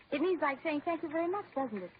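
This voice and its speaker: High, animated secretary, muffled recording. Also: female voice, fairly quick. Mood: tickled. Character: brisk office secretary, a little slow on jokes. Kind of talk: 1940s radio comedy acting. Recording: muffled, faintly noisy old radio recording.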